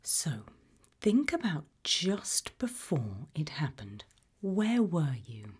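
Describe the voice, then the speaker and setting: British woman, hushed whisper, unhurried pace, breathy, reassuring, ASMR interview roleplay. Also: sits low, big pitch swings. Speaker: ASMR roleplay host playing an abduction investigator.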